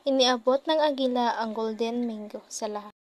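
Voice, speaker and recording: A woman's voice: a little high, moderate pace. A woman narrating a scripted children's puppet story, with faint noise behind.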